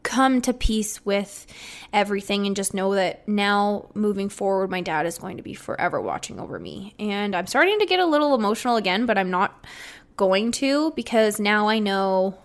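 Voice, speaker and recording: A woman's voice: mid-range voice, big pitch swings, normal speed. A young woman hosting a solo podcast, talking casually in an upbeat, rambling way with a slightly congested voice. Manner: chatty and upbeat, rambling with restarts. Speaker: podcast host.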